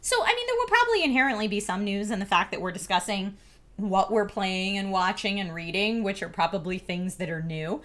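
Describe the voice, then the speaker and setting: A woman's voice: mid-range voice, fairly quick. A woman co-hosting a casual video-game chat show, talking in a chatty way and asking many questions. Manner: chatty and animated, asks lots of questions. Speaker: co-host.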